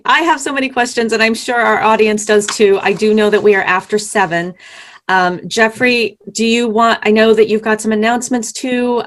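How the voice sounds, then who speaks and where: Encouraging female panel host. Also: medium pitch. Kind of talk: hosting a talk panel.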